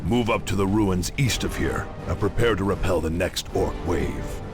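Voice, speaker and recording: An actor playing a stern, battle-hardened space marine captain in a video game, solemn and commanding. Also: male voice, low-pitched, animated, normal speed. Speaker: military commander. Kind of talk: dramatic video game acting. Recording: loud music and battle effects behind.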